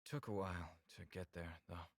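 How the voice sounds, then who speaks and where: A reserved alien lover in a visual novel, with a very low voice in a dry, close sound. His phrasing is measured and formal, candid but composed. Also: no room sound. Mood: polite, reserved. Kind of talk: visual novel character acting.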